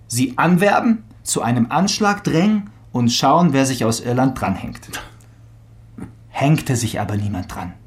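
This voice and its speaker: A man's voice: medium pitch, lively intonation, a little slow. A man playing an eager, teasing young police detective in a naturalistic German radio crime drama, with a wry, conversational delivery. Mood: pressing.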